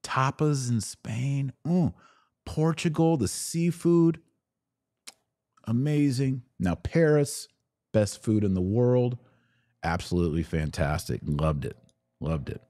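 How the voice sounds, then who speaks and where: A man's voice: medium pitch, animated, takes it slowly, dark tone, no room sound, studio quality. A middle-aged American man hosting a casual livestream, talking emphatically and with opinion in short, often repeated phrases. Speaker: podcast host taking live audience questions. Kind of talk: casual livestream monologue, reading and answering comments.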